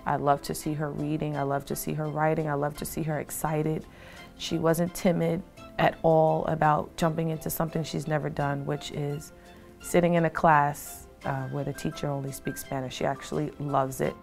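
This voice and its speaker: A woman's voice: low voice, level tone, normal speed, vocal fry, darkish tone, faint music behind. A woman parent in an interview, affectionate and plain-spoken.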